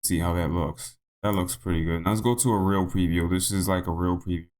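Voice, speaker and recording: A man narrating a software tutorial in a casual, unscripted way, thinking aloud and repeating himself, his voice slightly hoarse. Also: low-pitched, fairly even intonation, fairly quick. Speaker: tutorial host.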